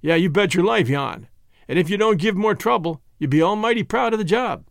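A man voicing a rough, wry frontier hangman with a folksy, mournful manner, in an audiobook story. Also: high voice, expressive, brisk, no room sound, clean studio sound.

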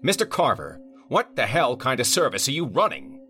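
A man voicing an irate, wealthy male winery owner who blusters and booms his complaints. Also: big pitch swings, measured pace, fairly bright tone, faint music behind, exaggerated voice. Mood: angry. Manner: angry, blustering, booming.

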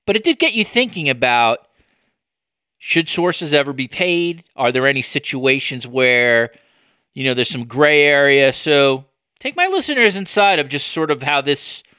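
A man hosting a podcast interview in a conversational way, deliberately working around to his questions with careful qualifications. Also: mid-range voice, pushed and bright, no room sound, clean studio sound. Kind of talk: conversational sports media interview.

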